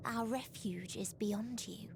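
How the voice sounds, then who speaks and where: Slow, solemn woman of despair. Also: medium pitch, gravelly, noisy background. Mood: grave, resigned. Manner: solemn, slow, formal archaic phrasing. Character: sorrowful, fatalistic female being urging surrender to despair. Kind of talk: scripted video game voice acting.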